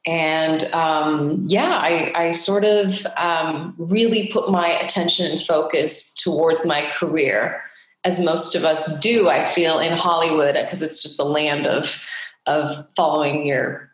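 A woman's voice: deep voice, steady tone, slow pace. A woman from Switzerland talking casually and reflectively about herself as an interview guest, with hesitant phrasing. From Geneva, Switzerland. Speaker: podcast guest, actress. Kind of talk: casual personal storytelling in an interview. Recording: very echoey room.

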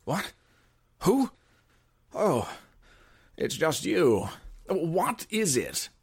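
An actor playing a nervous, irritable gentleman master in an old-time radio drama, with a gruff, blustering manner. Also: a little high, wildly swooping pitch, very slow, heavily acted. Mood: irritable, snappish.

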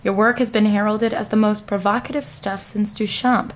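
A woman playing a composed, earnest correspondent conducting a deadpan parody news interview. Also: mid-range voice, moderate pace, close to an everyday voice.

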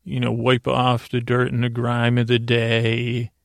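Guy w super croaky fry voice rambling on a sleep podcast, flat tone, lots of stammering and trailing around. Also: medium pitch, dry recording, clean studio sound. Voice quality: creaky, gravelly. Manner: halting, meandering, stammering and repeating words; deliberately slowed-down delivery. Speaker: podcast host.

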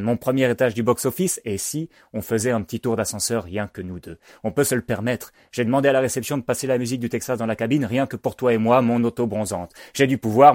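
A man voicing a grandiose, boastful lover who woos with syrupy flattery in an audiobook. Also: mid-range voice, dry recording, clean studio sound, pitched above the performer's own voice, lightly acted. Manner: grandiose, wooing. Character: smarmy, boastful lover sweet-talking his woman.

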